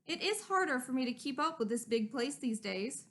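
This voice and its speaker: A woman's voice: high voice, animated, normal speed. A woman reading as a kindly old lady. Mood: wistful. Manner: gentle and welcoming. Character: lonely elderly woman thought to be a witch. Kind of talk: storybook read-aloud.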